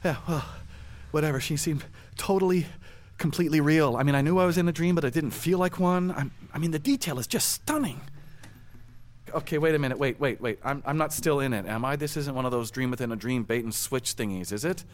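An actor playing a middle-aged man in charge of a national celebration, stammering and hesitant, then gushing with excitement. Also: fairly high pitch, fairly quick, natural voice. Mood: excited. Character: flustered male festival organizer, eager, easily excited. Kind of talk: audio drama acting.

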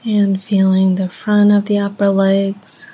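A woman guides a meditation at a very unhurried pace. Her voice is soft, mellow and a little breathy. She speaks gently, in short measured phrases separated by long pauses. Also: medium pitch, completely flat delivery.

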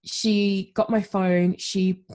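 A woman's voice: mid-range voice, flat delivery, slow, darkish tone. A woman with an Australian accent, a podcast guest telling her story in a chatty, candid way and laughing often. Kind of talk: conversational storytelling.

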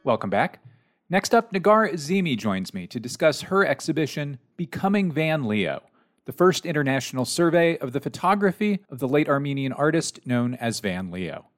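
A man's voice: on the high side, sing-song, normal speed, no echo. A man hosting an art podcast, reading sponsor spots and asking his guest questions with some restarts. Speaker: podcast host.

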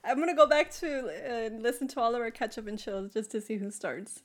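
A woman co-hosting a casual podcast, rambling through her story with fillers and restarts. Her voice sits a touch high and stays conversational. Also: a little fast, a little hiss or hum. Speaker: podcast co-host.

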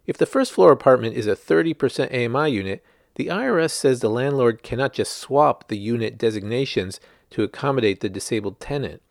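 A man's voice: mid-range voice, vocal fry, darkish tone, dry recording, studio quality. A man, a journalist, reading a written news article aloud as scripted narration.

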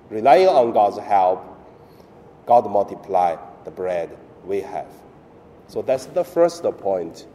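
Priest, male, Chinese accent, slow halting homily delivery, reverberant church sound. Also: mid-range voice, warm tone. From mainland China. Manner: halting, broken English with pauses and fillers. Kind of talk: Sunday homily.

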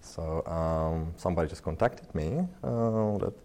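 Man, 30s, deep warm voice, relaxed rambling interview guest. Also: measured pace. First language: Czech. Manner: loose and chatty, many fillers and restarts. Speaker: interview guest, pianist and researcher. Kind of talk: casual interview talk.

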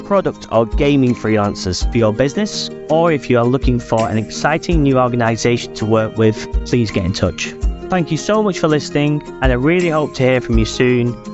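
Polished man reading a promo over guitar. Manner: polished, enthusiastic pitch. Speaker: company managing director. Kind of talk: scripted promo read. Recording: dull lo-fi sound over guitar music.

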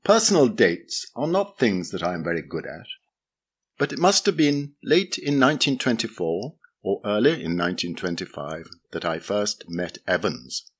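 Man, memoir reading, measured delivery, lowish pitch, lively intonation. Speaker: reader of a memoir essay.

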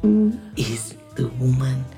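A woman with a Nigerian accent playing a nosy, excitable gossip in an audio drama, exclaiming and demanding dramatically. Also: a bass voice, takes it slowly, soft background music, full character voice. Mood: impatient, demanding.

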